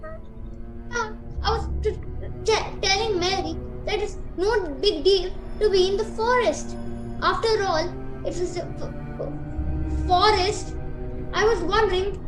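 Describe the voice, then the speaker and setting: A woman's voice: very high voice, slow pace, long pauses. A woman playing a polite, plucky but nervous young boy in a radio play, speaking earnestly. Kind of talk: amateur radio play acting. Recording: echoey room, music behind.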